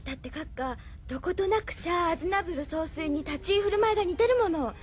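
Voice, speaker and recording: Very high-voiced, fervent young crewwoman. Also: quick pace. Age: young adult. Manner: polite, earnest, fervent. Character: eager, devoted young female crew member, idealistic admirer. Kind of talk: audio drama acting. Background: faint music.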